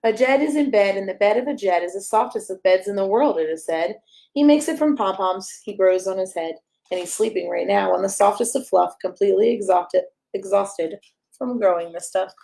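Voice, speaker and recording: A woman's voice: medium pitch, a little fast, gravelly, warm tone, low-quality recording. A woman reading a rhyming children's picture book aloud as a storyteller, in a playful, sing-song way with emphasis on the rhymes.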